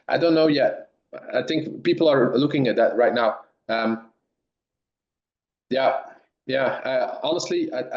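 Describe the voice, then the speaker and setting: A man from Belgium, a dairy science professor, answering webinar questions in halting speech with many restarts over a remote call. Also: medium pitch, a little mellow. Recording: roomy, dull lo-fi sound.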